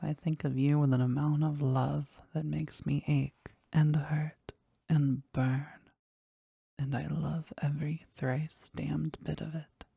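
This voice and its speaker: Woman voicing a husky, slow-talking possessive demon. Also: level tone, mellow, dry recording, studio quality, heavily acted. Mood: adoring, yearning. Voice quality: husky, breathy, low creaky voice. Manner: slow, deliberate, intense, dramatic. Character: powerful, possessive, jealous demon devoted to their master. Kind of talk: intimate audio roleplay monologue.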